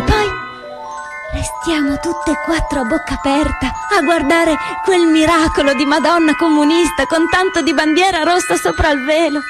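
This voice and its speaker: A spirited young partisan girl tells her tale. She is earnest, cheeky and excitable, phrasing everything dramatically like live theatre, with faint music behind her. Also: sits high. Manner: expressive, dramatic phrasing, shifts between voices. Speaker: solo stage actress. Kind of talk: theatrical monologue, animated storytelling.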